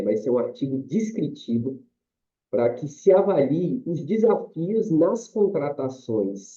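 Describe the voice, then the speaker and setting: A Brazilian man, a Portuguese speaker, presenting in an online class, slow and apologetic, with fillers. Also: fairly high pitch, mellow. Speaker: course participant. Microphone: video call. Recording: dull video call audio.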